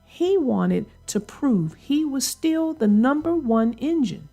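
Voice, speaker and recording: Children's read-aloud narrator, woman, very lively intonation, unhurried, light music underneath. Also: high-pitched, vocal fry. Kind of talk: expressive read-aloud storytelling.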